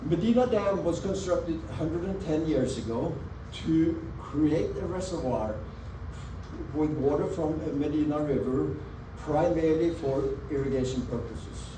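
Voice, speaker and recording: A man with a Norwegian accent presents to a roomful of people, speaking in a fairly high voice. He sounds earnest and measured and leans on his key words. The mic is set back from him in an echoey room, and noise can be heard behind his voice. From Norway. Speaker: presenter at a community annual meeting. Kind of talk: presentation talk over slides. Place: meeting room with an audience. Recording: echoey meeting room, mic at a distance, audible background noise.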